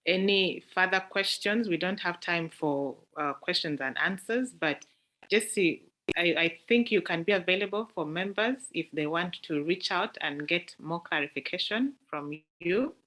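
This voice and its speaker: Slow-spoken woman hosting a meeting. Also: lowish voice, fairly bright tone, low-quality recording. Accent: Ugandan. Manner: hesitant, fillers and repeated words. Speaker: meeting host. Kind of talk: hosting an online club meeting. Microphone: video call.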